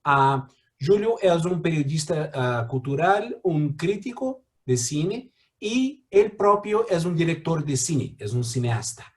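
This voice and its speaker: Male moderator, Brazilian accent, slightly high voice, halting delivery full of fillers, video call. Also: expressive. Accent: Brazilian Portuguese. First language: Portuguese. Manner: halting, many fillers and restarts. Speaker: mediator. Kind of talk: introducing a guest speaker.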